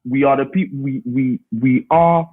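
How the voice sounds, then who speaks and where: Husky man on a thin phone-like line. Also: mid-range voice, steady tone, unhurried. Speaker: interview guest, rapper and DJ. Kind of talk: casual conversational interview. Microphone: remote call. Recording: thin phone-like call audio.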